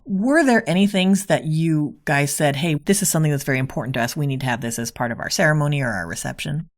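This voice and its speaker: A woman's voice: deep voice, expressive, quick pace. A woman hosting an interview, asking conversational questions in articulate, orderly phrasing. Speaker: podcast host, interviewer.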